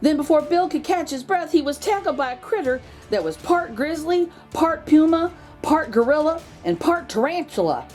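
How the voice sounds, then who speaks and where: Female storybook narrator, expressive and animated, leaning on key words for young listeners. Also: sits high, moderate pace, few pauses, soft background music. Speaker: children's book read-aloud host. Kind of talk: expressive storybook read-aloud.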